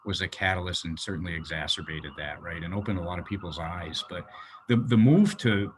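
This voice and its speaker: A man's voice: deep voice, brisk, a little hiss or hum. A male host interviewing a guest in a conversational way, hesitant and often checking with "right?". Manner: hesitant, repeats words, frequent "right?".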